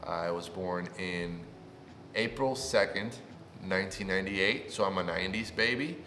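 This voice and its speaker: Young man, native Spanish speaker, lowish voice, upbeat and chatty, roomy echo. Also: moderate pace, slight background noise. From Puerto Rico. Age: 20s. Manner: upbeat and chatty, rising with excitement. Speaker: YouTuber. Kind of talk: casual vlog self-introduction. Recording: echoey room with long reverb.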